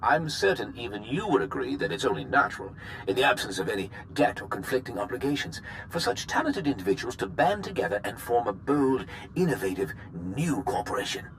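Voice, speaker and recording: An actor playing a smug, slippery male corporate executive who talks in a suave, sarcastic, drawn-out way. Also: medium pitch, very animated, a little hiss or hum, rough recording. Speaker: corporate representative. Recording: game cutscene with music behind.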